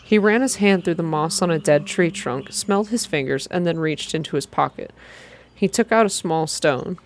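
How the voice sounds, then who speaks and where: A woman narrating first-person fiction aloud in a soft ASMR reading style, with deliberate, expressive storytelling. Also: low-pitched, slight background noise.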